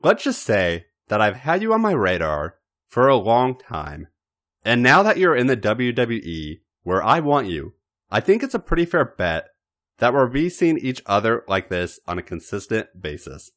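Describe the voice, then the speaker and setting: Man playing smug, cocky wrestler, very animated. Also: medium pitch, normal speed. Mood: smug, seductive. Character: cocky, teasing, self-assured older male wrestler. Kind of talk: dramatic audio fan fiction reading.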